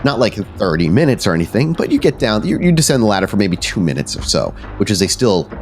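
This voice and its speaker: Low-voiced man narrating a horror game. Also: very animated, fast talker, darkish tone. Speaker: game master, storyteller. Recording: music behind the voice. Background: background music.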